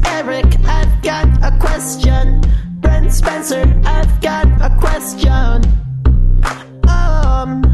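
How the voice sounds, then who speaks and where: Slow high-pitched lady doing a playful singy bit, loud music with her. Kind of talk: playful sung audio clip.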